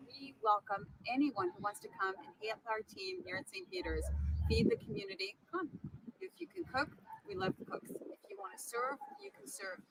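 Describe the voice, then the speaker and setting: A woman presenting a recorded cooking segment for a community meal team, with a warm, welcoming manner. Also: extremely high pitch, animated, moderate pace, very noisy. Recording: echoey room.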